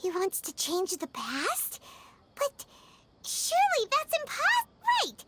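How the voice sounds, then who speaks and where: A woman voicing a tiny, excitable and anxious childlike fairy companion in a video game, squeaky and dramatic. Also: slow, very noisy, exaggerated voice. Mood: worried, then indignant, animated. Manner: speaks of herself in third person, dramatic. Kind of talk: animated video game voice acting.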